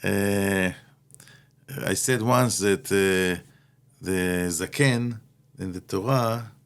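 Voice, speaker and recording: Middle-aged Israeli-born rabbi, gravelly low voice, halting delivery full of fillers. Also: male voice. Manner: hesitant, many fillers, mixes in Hebrew terms. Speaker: rabbi, guest speaker. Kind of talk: Torah talk in conversation.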